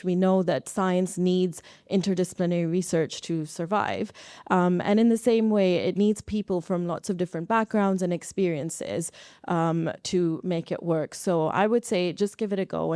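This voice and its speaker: A woman with a mellow, smooth voice speaks as a researcher on a live panel. She is measured and pauses as she thinks. The sound is close and dry. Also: fairly low pitch, steady tone, no room sound. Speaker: panelist, researcher and founder of a science network. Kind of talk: spoken panel advice at a live event.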